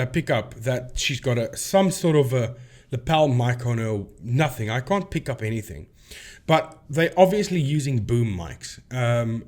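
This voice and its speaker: A man's voice: mid-range voice, a little mellow. A man hosting a debunking video, explaining his points emphatically and repeating phrases for stress as he walks through a demonstration. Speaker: video host debunking paranormal claims. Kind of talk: explanatory commentary with screen demonstration.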